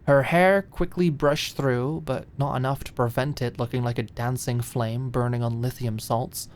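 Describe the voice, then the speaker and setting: Low-voiced woman narrating an audiobook. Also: fairly even intonation, moderate pace, darkish tone, a little hiss or hum. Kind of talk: scripted dramatic story narration.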